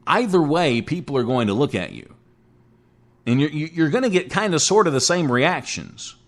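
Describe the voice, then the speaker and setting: A man's voice: on the high side, animated, normal speed. A man in his forties hosting a casual solo talk show, chatty and rambling, joking at his own expense. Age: 40s. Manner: chatty, rambling, self-mocking. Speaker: internet radio show host.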